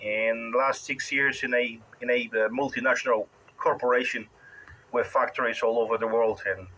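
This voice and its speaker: A middle-aged man with a Polish accent, chatting casually as an amateur radio operator in a halting, wry, rambling way. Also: medium pitch, fairly even intonation, pushed and bright, a little hiss or hum, low-quality recording.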